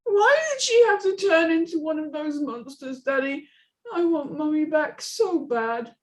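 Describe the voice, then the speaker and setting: A woman's voice: sits high, lively intonation, unhurried, voice pushed up. A woman voicing a scared, stubborn seven-year-old girl in a dramatic script reading, with a pleading, childlike manner. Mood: upset, pleading. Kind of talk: dramatic script table read.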